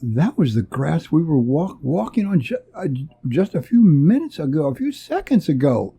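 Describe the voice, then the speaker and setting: A man's voice: on the high side, very animated, normal speed, creaky, dark tone, husky, heavily acted. A man voicing an anxious, hesitant spaceship crewman who stammers in an adventure story. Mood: puzzled, uneasy.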